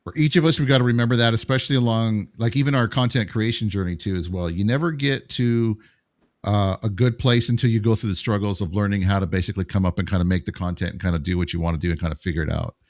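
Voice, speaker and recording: Low-voiced, easygoing podcast co-host, a man. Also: quick pace, no room sound. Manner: easygoing, agreeable, asks questions. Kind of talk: casual podcast chat.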